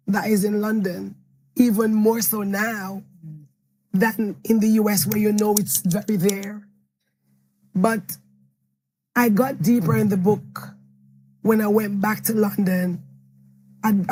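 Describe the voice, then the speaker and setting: Hoarse Jamaican woman author with a flat, even delivery and rambling answers, faint hiss behind. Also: medium pitch, low-quality recording. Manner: rambling, self-correcting storytelling. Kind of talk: interview answer at a book event.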